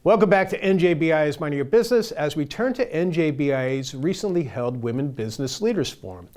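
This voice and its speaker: A man's voice: a little high, animated, fast, a little mellow. A man hosting an interview show, speaking conversationally as he questions a guest and links segments. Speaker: talk show host.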